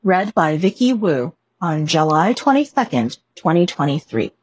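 A woman reading a written report aloud as scripted narration, in a measured, formal manner. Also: on the low side, very animated. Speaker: reader of a written staff report.

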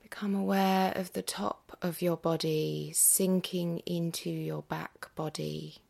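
Hushed, very slow woman guiding meditation. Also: lowish voice, fairly even intonation. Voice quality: breathy, husky, often near-whisper. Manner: gentle, unhurried, with long pauses. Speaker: yoga nidra meditation guide. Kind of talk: guided meditation, hushed and soothing.

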